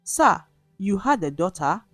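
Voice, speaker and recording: A woman voicing a gentle, respectful young female teacher in a storybook tale, speaking softly and quietly. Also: medium pitch, sing-song, a little slow, no echo, soft background music. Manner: soft, quiet. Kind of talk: storybook narration dialogue.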